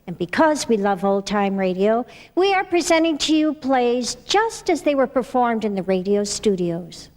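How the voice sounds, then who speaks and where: A woman's voice: fairly high pitch, sing-song, normal speed, few pauses, a little mellow. An older American woman reading scripted, nostalgic narration as presenter of a radio ensemble. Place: small studio room, indoors. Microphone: stand microphone.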